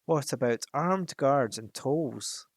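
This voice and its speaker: A man voicing a huge, boastful, wicked old male dragon in a storybook reading, with a slow, gloating, menacing delivery. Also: mid-range voice, dry recording, studio quality. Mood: sly, mocking.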